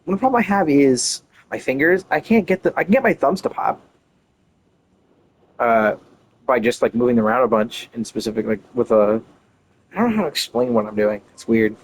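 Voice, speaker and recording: A man's voice: mid-range voice, animated, brisk, a little hiss or hum, poor audio. A man doing casual gameplay commentary, rambling and thinking aloud as he streams. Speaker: game streamer. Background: game music.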